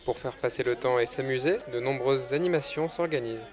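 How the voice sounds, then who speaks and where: A man narrates a regional news report in a steady, scripted manner. His voice sits at a medium pitch and the delivery is even and measured. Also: soft background music.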